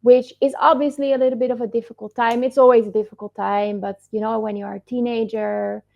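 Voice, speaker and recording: Dutch-accented woman, gravelly and lo-fi. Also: a little high, flat delivery, fairly quick. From Netherlands. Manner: thoughtful, hedging with "I guess". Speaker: guest, startup founder. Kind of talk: reflective interview answers. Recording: muffled lo-fi audio.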